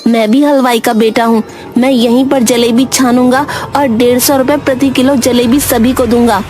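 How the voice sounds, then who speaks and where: Woman as high-voiced, defiant, principled schoolboy. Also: fairly even intonation, moderate pace, darkish tone, soft background music, natural voice. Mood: defiant, righteous. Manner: earnest, defiant, declamatory. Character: stubborn principled schoolboy, idealistic young son. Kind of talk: Hindi cartoon moral-story voice acting.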